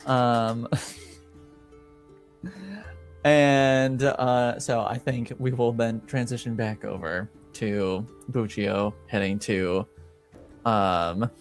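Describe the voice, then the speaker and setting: Slow-talking man running a tabletop game. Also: medium pitch, steady tone, faint music behind. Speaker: game master. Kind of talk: casual tabletop game narration.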